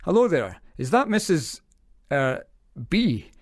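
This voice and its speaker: An actor playing a formal radio newsreader in an audio drama, reporting in a scripted bulletin style. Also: male voice, on the high side, big pitch swings, slow pace, no room sound, clean studio sound, heavily acted. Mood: brisk, formal. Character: formal male radio newsreader, easily flustered. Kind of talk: news reading in audio drama.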